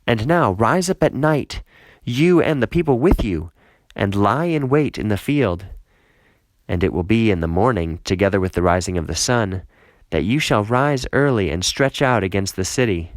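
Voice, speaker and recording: A man's voice: fairly low pitch, animated, moderate pace, no echo, lightly acted. Man reading as a commanding, scornful city ruler. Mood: urgent counsel. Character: scheming, scornful male city ruler giving counsel.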